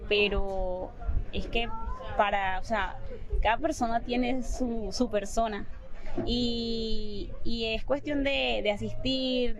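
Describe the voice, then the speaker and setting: A woman's voice: a little high, dull audio. A woman interview guest who talks hesitantly and restarts her phrases. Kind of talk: casual interview chat.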